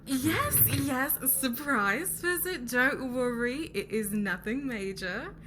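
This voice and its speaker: A woman's voice: high voice, slow pace, noisy background. A woman playing a haughty noblewoman on a company board, speaking with condescending politeness in an ASMR roleplay.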